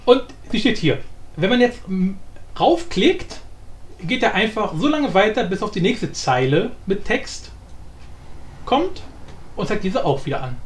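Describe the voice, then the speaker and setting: A man with a high voice walks through software in a casual, unscripted way. He thinks aloud and often repeats himself. The room sounds echoey, with faint noise behind him. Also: very animated, a little slow, pauses often. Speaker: tutorial host. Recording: roomy echo with faint background noise.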